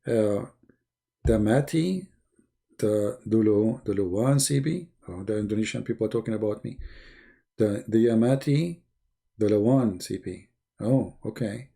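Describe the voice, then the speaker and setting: A man's voice: fairly low pitch, measured pace, gravelly, dark tone, slightly rough. A man rambling sarcastically as host of a livestream chat, full of "you know" fillers. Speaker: channel host. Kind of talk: joking livestream chat with viewers.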